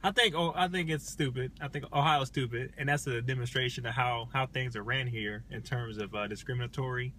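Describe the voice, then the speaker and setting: A man's voice: mid-range voice, fairly quick, few pauses, pushed and bright, a little hiss or hum. A man chatting casually as a livestream guest, telling anecdotes and giving opinions in an informal, rephrasing way.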